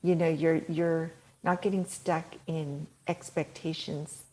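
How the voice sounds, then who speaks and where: A middle-aged woman with a soft voice, talking as a podcast guest in an animated, coaching way, laughing often. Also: low-pitched, slow pace, poor audio. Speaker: guest, author and speaker. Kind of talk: podcast interview talk.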